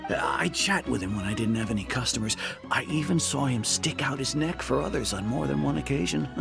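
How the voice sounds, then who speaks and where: Brief: a man reading an earnest village shopkeeper, gravelly and a little hesitant. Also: medium pitch, lively intonation, brisk, over music. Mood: fond. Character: adult male villager shopkeeper, earnest and fond. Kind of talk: reading game dialogue aloud.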